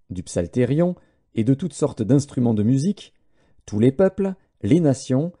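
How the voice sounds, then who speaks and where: A man narrating scripture as an audiobook in a solemn, measured way. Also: medium pitch, warm tone.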